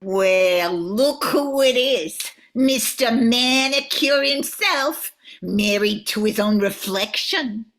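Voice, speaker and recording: A woman's voice: fairly high pitch, slow, fairly bright tone. An older woman playing a lovesick, sarcastic nymph in a radio play, with a nasal New York accent.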